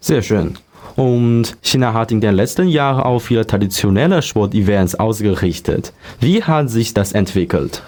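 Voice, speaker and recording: A man's voice: on the low side, animated, normal speed, darkish tone. A young man moderating a school panel discussion, reading prepared transitions. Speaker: student moderator.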